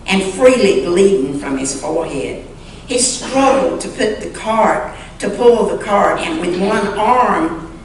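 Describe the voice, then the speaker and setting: Slow-reading woman in an echoey hall. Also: fairly low pitch. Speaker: church member reading a short story aloud. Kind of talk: dramatic storytelling reading. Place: large indoor church sanctuary. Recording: echoey church sanctuary, distant mic, faint noise.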